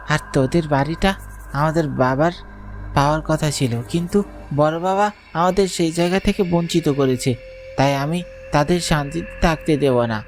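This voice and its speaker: A woman voicing the eerie, accusing ghost of a dead young cousin in a horror cartoon, with a menacing, deliberate manner. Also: low voice, close to an everyday voice.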